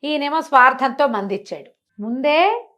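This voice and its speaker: A middle-aged woman telling a folk tale with animated, theatrical delivery. Her voice sits somewhat high for a woman, and she switches tone as she voices each character. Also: normal speed. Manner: expressive, acts out the characters' lines with shifting voice. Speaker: storyteller guest. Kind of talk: animated storytelling in an interview.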